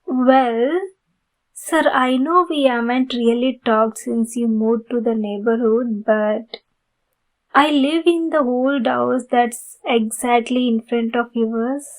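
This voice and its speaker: A woman playing a polite, pleading, youthful-looking vampire in an ASMR roleplay, speaking formally and courteously with many pauses. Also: fairly high pitch, poor audio. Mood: polite, demure. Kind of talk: ASMR roleplay addressed to the listener.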